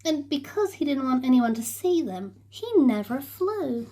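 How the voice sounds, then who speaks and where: A woman narrating a children's storybook in an expressive, playful way. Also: sits high. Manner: animated, slow and playful. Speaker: storybook reader.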